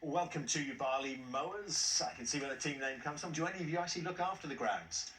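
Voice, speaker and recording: A man hosting a television quiz show, jovial and quick to chuckle at the contestants. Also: a little high, expressive, a bit bright. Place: TV studio. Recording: echoey studio, mic at a distance, faint hiss.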